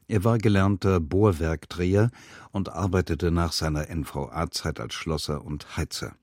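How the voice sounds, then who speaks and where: A man's voice: deep voice, a little mellow, no room sound. A man reading a scripted literary introduction as a radio announcer in a studio. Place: close, dry broadcast studio.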